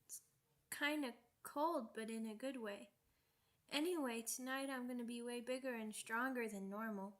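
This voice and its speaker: A woman's voice: on the high side, normal speed, fairly bright tone, close to an everyday voice. A woman acting a young werewolf pup girl in an ASMR roleplay, timid, earnest and sweet, with a hesitant, childlike way of talking. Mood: anxious, trusting. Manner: hesitant, simple childlike wording with stammered repeats.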